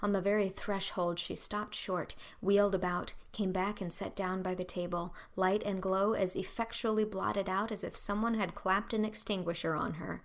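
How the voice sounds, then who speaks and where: A woman's voice: mid-range voice, fairly even intonation, moderate pace, hardly any pauses. A woman reading scripted audiobook narration of a story.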